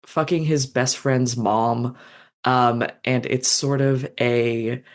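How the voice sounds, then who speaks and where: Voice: medium pitch, fairly even intonation, takes it slowly. Chatty author describing a story idea. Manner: enthusiastic, rambling, with frequent ums and likes. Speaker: author guest. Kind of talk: casual podcast interview.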